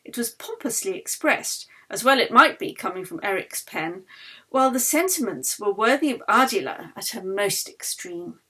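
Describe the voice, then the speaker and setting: A woman author reading her novel aloud as literary narration, measured and expressive. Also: mid-range voice, breathy edge.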